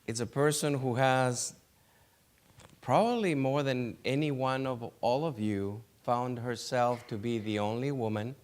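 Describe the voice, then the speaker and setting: A man's voice: medium pitch, clear, resonant tone. A man moderating a conference panel, introducing the next speaker with many restarts. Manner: halting, many restarts and light laughs. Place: conference hall.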